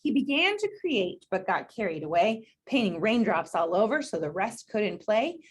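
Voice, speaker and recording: A woman's voice: medium pitch, very animated, normal speed, slightly rough. A woman reading a children's picture book aloud, expressively, with encouraging chatty asides and questions to her listeners. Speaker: read-aloud presenter.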